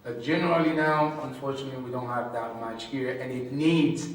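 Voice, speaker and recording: Deliberate man in a very echoey hall. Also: mid-range voice, fairly even intonation. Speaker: religious scholar on a panel. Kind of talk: panel discussion answer. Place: large room at a public panel event. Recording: very echoey hall, distant mic, faint noise.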